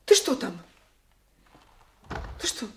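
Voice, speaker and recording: An actress playing a troubled young peasant wife in a radio play, breathy and emotional. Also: female voice, takes it slowly, slight background noise, close to an everyday voice. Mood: flustered. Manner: breathy, emotional, drops into whispered asides. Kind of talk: Soviet radio play acting. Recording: 1980s radio drama recording.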